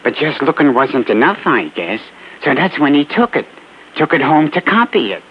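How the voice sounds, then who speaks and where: An actor playing a shrewd, wheedling small-time American informant in slangy, ungrammatical speech on an old radio crime drama. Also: male voice, on the high side, very animated, moderate pace. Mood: sly, wheedling. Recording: muffled old radio recording, faint noise.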